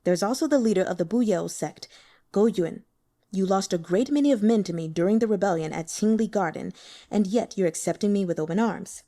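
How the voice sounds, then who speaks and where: A woman voicing a calm, shrewd, frail young male strategist who speaks in a measured, guarded way. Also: medium pitch, close to an everyday voice.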